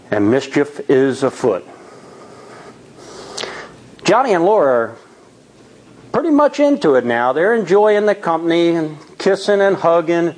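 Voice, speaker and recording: A man telling a spooky tale live in a theatrical, suspenseful way, with deliberate dramatic pauses. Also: fairly high pitch, rough recording. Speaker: storyteller at a Toastmasters tall tales event.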